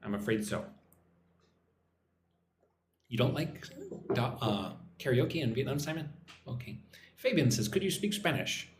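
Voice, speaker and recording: A man's voice: medium pitch, sing-song, measured pace, long pauses, reverberant, noisy background. An American man hosting a casual English lesson livestream, chatting with viewers and answering their comments by name. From United States. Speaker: English teacher. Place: home in Vietnam.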